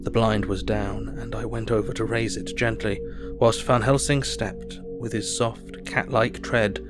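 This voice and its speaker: Low-voiced, solemn doctor played by a man. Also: level tone, music in the background, close to an everyday voice. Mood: dread. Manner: measured, literary, solemn. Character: earnest, anxious male asylum doctor reading his diary. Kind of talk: first-person dramatic audio narration.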